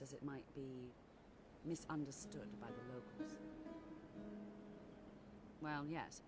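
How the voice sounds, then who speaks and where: An actor voicing a measured, formal scholar of magic in a video game. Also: medium pitch, lightly acted. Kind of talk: video game dialogue acting. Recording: music under the voice.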